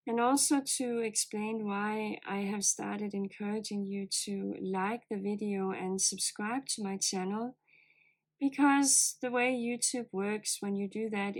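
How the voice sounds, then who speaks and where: Soft-spoken woman with a low, hoarse voice. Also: rough recording. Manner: calm, earnest, gently encouraging. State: voice low and a bit hoarse, as with a cold. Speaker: oracle card reader. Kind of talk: spiritual card reading to viewers.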